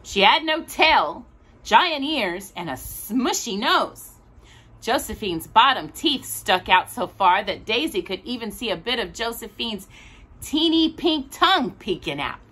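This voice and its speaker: A woman reading a children's picture book aloud as storyteller, expressive, drawing out words for a young listener. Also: a little high, unhurried, slightly rough.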